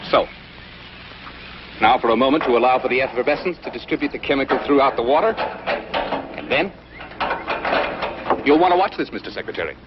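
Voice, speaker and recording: A man acts out a mysterious American inventor in a vintage television drama, courteous and calmly insistent. He talks quickly and precisely with animated intonation, on a dull old soundtrack. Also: mid-range voice, background noise, natural voice. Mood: calmly confident. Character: mysterious, polite, self-assured eccentric male inventor. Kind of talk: 1950s television drama acting.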